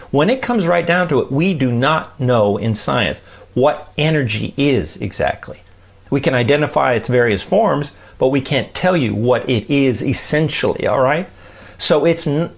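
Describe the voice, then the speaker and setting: Animated man teaching with gravelly creak. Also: medium pitch. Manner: emphatic, with rhetorical check-ins like "right?" and "okay?". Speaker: podcast host explaining science and faith. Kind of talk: conversational teaching talk.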